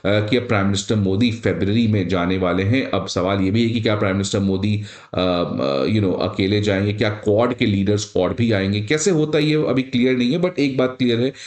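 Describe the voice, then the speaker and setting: A man's voice: lowish voice, fairly quick, few pauses, darkish tone. A man with an Indian accent explains current affairs to students in a didactic way, addressing them directly and mixing in English phrases. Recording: roomy, echoing sound.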